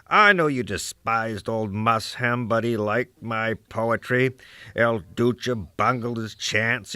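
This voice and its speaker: A man's voice: mid-range voice, pushed and bright, no echo. A man voicing an aging, cantankerous, proud American poet in a rambling dramatic soliloquy, with a crusty, musing, oratorical delivery and salty asides. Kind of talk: dramatic first-person literary soliloquy.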